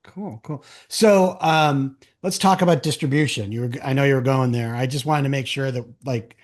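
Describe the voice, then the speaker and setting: Casual male interviewer, medium pitch. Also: moderate pace. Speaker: interview host.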